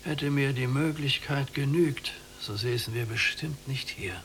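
An old prisoner in a radio drama, his voice full of gravelly creak. He speaks in a measured, severe, declamatory way, weary and resigned. A faint noisy hum sits behind him. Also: medium pitch, fairly bright tone. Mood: resigned, stern. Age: older. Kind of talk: literary radio play acting.

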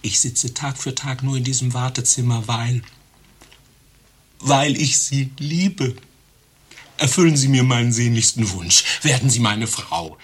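An actor playing a lovestruck patient, husky and flattering, in a satirical radio play. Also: male voice, mid-range voice, unhurried, lightly acted. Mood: ardent, pleading. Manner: ardent, flattering. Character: lovestruck male patient proposing to a receptionist.

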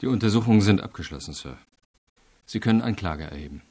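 An actor playing an unyielding deputy prosecutor in a radio crime drama, laying out facts in a firm, deliberate way. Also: male voice, fairly low pitch, animated, darkish tone, husky. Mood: sober, factual. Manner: matter-of-fact, deliberate, firm. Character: methodical, unyielding male deputy prosecutor interrogating.

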